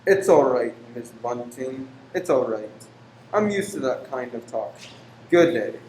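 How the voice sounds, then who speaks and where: A man's voice: medium pitch, expressive, a little slow, lightly acted. Man acting stern, preachy lodger in echoey room. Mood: preaching. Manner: formal, archaic, preachy phrasing. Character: stern, pious, secretive male lodger preaching against pleasure. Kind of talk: radio play acting. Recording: echoey room with faint noise.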